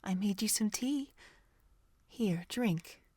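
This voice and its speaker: A woman playing a calm, motherly pony doctor in an audio drama, gentle and deliberate. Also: medium pitch, breathy edge, no room sound. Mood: concerned, sad.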